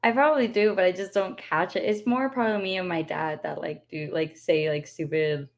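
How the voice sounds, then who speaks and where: A woman's voice: lowish voice, fairly even intonation, brisk. A young woman co-hosting a podcast with her boyfriend, teasing and exasperated, laughing often. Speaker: podcast co-host. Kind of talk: casual joking chat.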